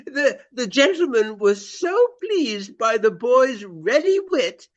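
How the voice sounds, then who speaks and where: A pompous Regency gentleman who fancies himself a great tragedian. He declaims very slowly in a high, melodramatic voice, as if in a radio play. The sound is slightly dull. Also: big pitch swings, exaggerated voice. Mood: self-pleased, amused. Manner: grandiloquent, drawn-out, melodramatic delivery. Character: pompous Regency gentleman, a hammy amateur tragic actor.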